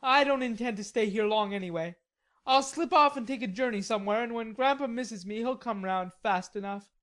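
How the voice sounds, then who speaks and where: A woman reading an audiobook, voicing a stubborn, hot-tempered young gentleman in indignant outbursts. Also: fairly high pitch, fairly quick, voice pushed up, close to an everyday voice. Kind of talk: audiobook character voice.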